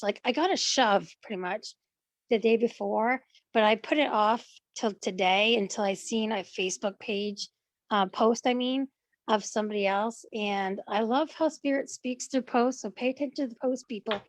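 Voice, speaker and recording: Animated woman telling a story, dry sound. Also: on the high side, normal speed, no room sound. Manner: animated storyteller, acts out her own inner quotes, laughs often. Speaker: guest client. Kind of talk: casual conversation.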